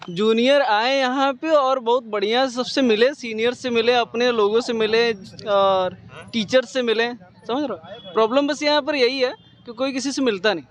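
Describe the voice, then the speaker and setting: A young male student speaking outdoors in a casual, joking way, often checking that the listener follows. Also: high voice. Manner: jokey, casual, keeps asking "you get it?".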